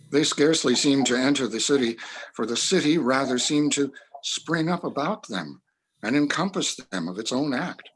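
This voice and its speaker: A man reading a classic story aloud as narrator, in a grave, measured, deliberate manner. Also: medium pitch, slightly rough, low-quality recording. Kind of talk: scripted dramatic story narration.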